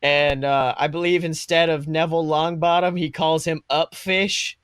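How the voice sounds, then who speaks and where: A man's voice: a little high, level tone, normal speed, a bit bright, clean tone, no echo, clean studio sound. A man in his twenties chatting casually as a podcast co-host, telling stories in an emphatic, irreverent, sweary way. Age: 20s. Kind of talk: casual chat, animated storytelling.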